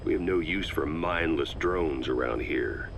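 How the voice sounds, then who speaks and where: An actor playing a gruff, wry mercenary soldier in a video game, with a dry, folksy manner. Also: male voice, a bass voice, unhurried, background noise, full character voice. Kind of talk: scripted dramatic game dialogue.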